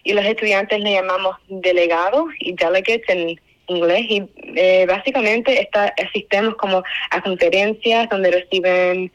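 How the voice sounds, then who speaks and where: A woman's voice: medium pitch, muffled recording. A young woman from Spain with a Cuban accent, answering interview questions in an earnest, wordy, self-correcting way. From Spain, raised in a Cuban family. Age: young adult. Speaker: student award winner being interviewed.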